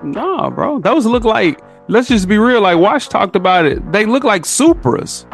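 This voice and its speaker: Higher-voiced man, emphatic podcast talker. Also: huge pitch swings, measured pace, vocal fry, soft background music. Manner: emphatic, slangy, repeats points for stress. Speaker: podcast guest. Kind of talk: casual, opinionated podcast chat.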